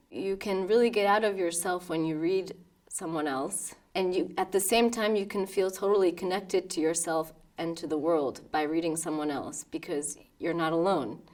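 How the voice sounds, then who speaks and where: A woman's voice: low-pitched, recorded from a distance. A woman in her thirties from New York with an American accent, talking thoughtfully about her life as a pianist in a documentary interview. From New York, United States. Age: 30s. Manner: thoughtful, with soft laughs and restarts.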